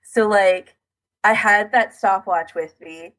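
A woman's voice: medium pitch, slow, a bit bright, poor audio. A woman co-hosting a podcast in casual chat, recounting things in detail with a self-deprecating streak. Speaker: podcast co-host.

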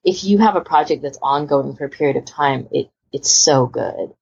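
Husky, low-voiced woman chatting casually. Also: normal speed, darkish tone. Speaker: podcast co-host. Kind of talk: casual chat. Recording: dull lo-fi voice recording.

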